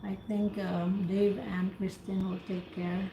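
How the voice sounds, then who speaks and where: A woman's voice: mid-range voice, level tone, slow, gravelly, roomy sound, highs cut off, noisy background. A woman on a video call chatting casually in a sleepy, hesitant way. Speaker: participant in a speaking practice group. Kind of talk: casual chat.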